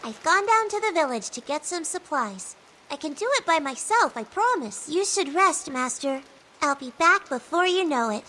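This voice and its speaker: High-pitched, eager young apprentice girl. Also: animated, moderate pace, bright, forceful tone, slight background noise, low-quality recording. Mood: earnest, reassuring. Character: eager, dutiful young female martial arts apprentice. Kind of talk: video game voice acting.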